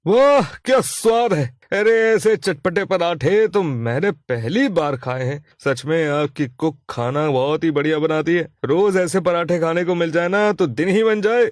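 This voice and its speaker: Male actor as everyday man, slightly high voice, earnest, emphatic, cartoon story, dry sound. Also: measured pace, hardly any pauses, no echo, close to an everyday voice. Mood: appreciative. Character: appreciative male customers and concerned husband, everyday men. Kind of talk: cartoon moral story acting.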